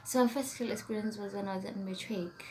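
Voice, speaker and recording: A woman's voice: mid-range voice, steady tone, quick pace. Casting: young Setswana-speaking woman from South Africa, chatty YouTuber, faint hiss behind. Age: young adult. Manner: chatty, rambling, trails off into whispers while reading questions. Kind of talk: casual tag video chat.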